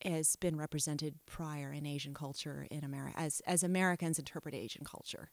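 A middle-aged woman with a low voice looks back on her past in an interview. She is frank and reflective, often trailing off and restarting her sentences. The recording is close and dry. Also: fairly even intonation, a little fast, dry recording. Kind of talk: candid personal storytelling.

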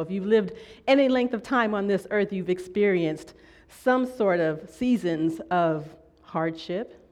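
A woman preacher giving a conversational sermon in an earnest, measured way, often checking in with her listeners. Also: on the low side, a little mellow. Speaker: preacher teaching a church series.

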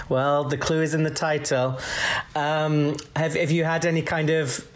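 A man's voice: a little high, flat delivery, slow. A man with a stuffy nose from a cold, hosting a podcast in a chatty, giggly way full of ums. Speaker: podcast host. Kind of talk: casual interview chat.

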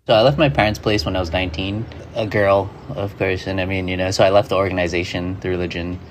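A man's voice: low voice, fairly even intonation, quick pace, slight background noise. A man, a guest in an interview, reflecting in a loose way full of "like" and "you know". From Hawaii.

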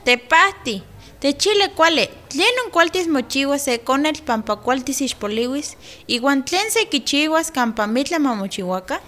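A woman's voice: high voice, lively intonation, measured pace, fairly bright tone. A woman playing a curious young village woman in a radio drama, asking eager questions. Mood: inquisitive.

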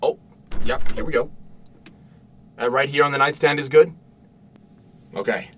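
A man playing a gentle, eager, protective father in an audio drama, speaking softly and haltingly in a naturalistic style. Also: mid-range voice, recorded from a distance, slight background noise, natural voice. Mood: tender, wondering. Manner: hesitant, soft-spoken, stammering when worked up.

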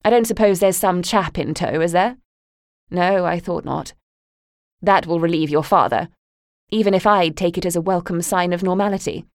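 A woman reading aloud takes on a sweetly cruel mother in a storybook reading. The voice rides higher than her usual, honeyed and needling. The reading is close and dry, with no room sound. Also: lively intonation, normal speed, few pauses, a little mellow, no room sound, lightly acted. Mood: cold. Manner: sugary and cutting. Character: sneering, sweetly malicious middle-aged mother.

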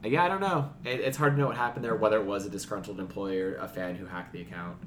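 A male podcast co-host with a fairly low voice speaks in a casual register, chatting or reading items out. There is a little noise behind him on the recording. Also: quick pace.

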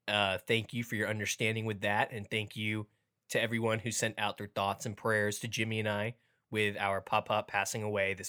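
A young man hosts a laid-back sports podcast, upbeat and joking. His voice sounds stuffy and keeps cracking from allergies. He talks at an ordinary middle pitch. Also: fairly bright tone, clear, resonant tone. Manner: upbeat, joking, playfully hammy intros. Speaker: podcast host. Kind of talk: casual podcast chat.

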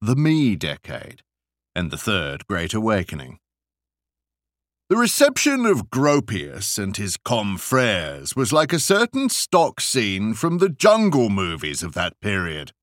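Slow, highly animated male audiobook narrator. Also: dry recording, clean studio sound. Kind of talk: expressive scripted narration of literary essays.

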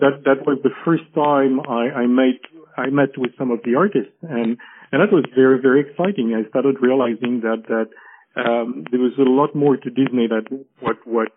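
A man speaks down a thin, phone-like call line with a faint hiss. He talks haltingly, stacking fillers and restarts as he explains. His pitch sits in a middle range for a man. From France. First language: French. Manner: halting, many fillers and repeated words. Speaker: guest, animation historian. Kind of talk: interview over a call.

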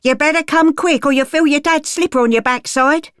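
A woman's voice: high voice, fairly quick, dry recording, clean studio sound, pitched above the performer's own voice, close to an everyday voice. A woman voicing a harsh, scheming woman from who whines and threatens. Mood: threatening. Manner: whining, threatening. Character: harsh scheming working-class London woman.

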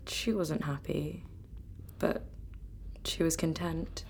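An actress playing a grieving granddaughter in a naturalistic radio drama, tender and firm, speaking in a measured, earnest way. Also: female voice, low voice, steady tone, vocal fry, a little mellow, a little hiss or hum, heavily acted. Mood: firm, reflective.

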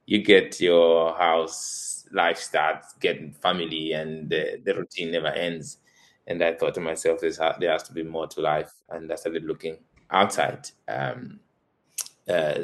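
A man with a very low, deep voice, from Zambia and in his thirties. He talks through his background as an interview guest, pausing often with ums and restarts and laughing softly now and then. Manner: hesitant, many fillers and restarts, soft laughs. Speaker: guest, college tutor. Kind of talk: relaxed interview answers.

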